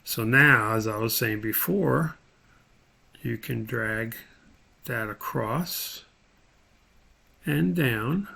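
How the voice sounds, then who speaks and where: A man's voice: mid-range voice, very slow, creaky, long pauses, sharp tone, slightly rough. A man giving an informal screen tutorial as an instructor, thinking aloud step by step as he demonstrates.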